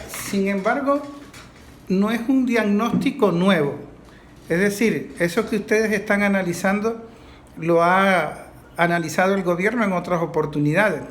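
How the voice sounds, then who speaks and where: High-pitched Venezuelan man moderating a discussion. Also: moderate pace, a bit bright. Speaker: interviewer, moderator.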